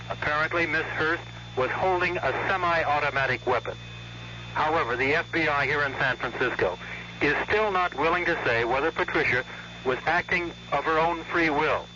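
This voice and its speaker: A man filing a news report as correspondent in a steady reporting tone. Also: fairly high pitch. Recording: muffled old radio report with faint hiss.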